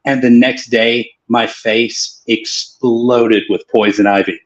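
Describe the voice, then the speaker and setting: A man's voice: mid-range voice, fairly even intonation, slow, a crowd in the background. A man, rambling through a joking anecdote on a casual livestream. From Tennessee, US. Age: 40s. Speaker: co-host. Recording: dull lo-fi call audio.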